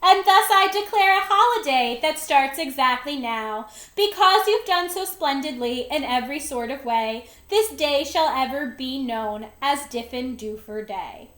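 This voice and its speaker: A woman's voice: high-pitched, lively intonation, unhurried, few pauses, fairly bright tone, reverberant. A woman voicing a fretful older male school principal in a children's storybook, announcing things with dramatic emphasis. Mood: proud, relieved. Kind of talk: children's storybook read-aloud.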